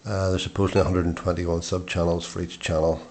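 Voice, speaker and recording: A middle-aged man giving an informal product review in short, matter-of-fact phrases with hesitant pauses. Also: sits low, flat delivery, background noise.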